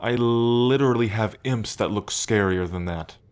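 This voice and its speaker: A man's voice: fairly low pitch, moderate pace, natural voice. A man playing a smug, teasing demon prince of pride in an ASMR roleplay, drawling and playfully taunting the listener. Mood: teasing, casual.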